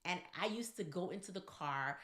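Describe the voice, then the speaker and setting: Female podcast co-host, lower register, roomy echo, emphatic direct-to-audience relationship advice. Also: animated, moderate pace, fairly bright tone. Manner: emphatic, addresses listeners directly. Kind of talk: conversational relationship advice talk. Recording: echoey, roomy sound.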